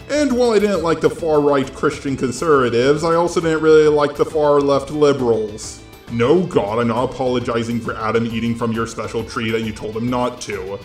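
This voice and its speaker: A man reads scripted opinion commentary in a nasally voice with a middling pitch. The sound is roomy, picked up on a gaming headset, with music playing underneath. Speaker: video commentator.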